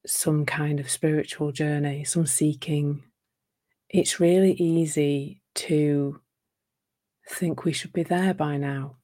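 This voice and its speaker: Woman, gentle reflective coach, slightly croaky, lower voice. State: slightly croaky from a sore throat. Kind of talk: live talk on relationships.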